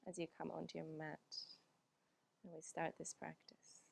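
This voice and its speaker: A woman whose first language is Finnish, teaching a yoga class in a calm, unhurried way with frequent pauses and "um"s. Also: sits low, vocal fry, husky. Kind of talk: guided yoga class instruction.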